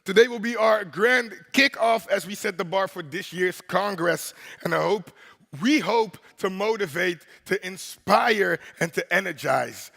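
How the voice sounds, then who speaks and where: A man hosting the opening of a congress on stage, with a very deep voice, talking in a playful, rhythmic way and drawing in the crowd. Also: a little slow, creaky, bright, forceful tone. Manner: playful, rhythmic, crowd-engaging with repeated "right?". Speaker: host and MC. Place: large conference hall. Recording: long hall reverb.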